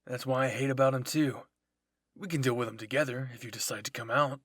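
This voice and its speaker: A man's voice: medium pitch, steady tone, fairly quick, a bit bright, no echo, studio quality, natural voice. Man acting a bitter, betrayed young soldier. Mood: sardonic.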